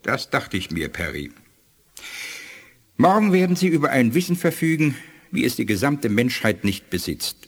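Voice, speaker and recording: Husky, dignified alien scientist, sweeping intonation. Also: male voice, medium pitch, very animated. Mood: solemn, persuasive. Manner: measured, formal, didactic delivery. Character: dignified alien scientist of a starfaring race, shrewd and authoritative. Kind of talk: 1980s German sci-fi radio play acting.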